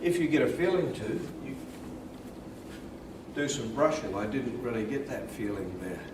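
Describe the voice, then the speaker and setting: An Australian man with a deep voice, calmly and deliberately teaching and demonstrating hypnosis in a soft-spoken, repetitive way. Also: noisy background. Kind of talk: hypnosis instruction and induction, softly spoken.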